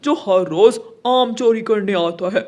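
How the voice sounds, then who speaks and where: A bossy male crow guard from a children's cartoon, acted out by a woman. He speaks in a measured, slower way with a dark, mellow tone. His manner is stern and accusing, like a watchman laying down the law. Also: medium pitch, natural voice.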